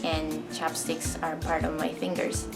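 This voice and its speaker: Soft-spoken young woman. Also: low voice, monotone, a little slow. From Japan. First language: Japanese. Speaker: documentary narrator. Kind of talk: scripted personal narration. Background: background music.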